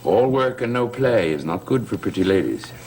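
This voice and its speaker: An actor playing a courtly, patronizing gentleman with veiled menace in a 1960s television gothic soap. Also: lowish voice, lively intonation, normal speed, slight background noise. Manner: courtly, condescending.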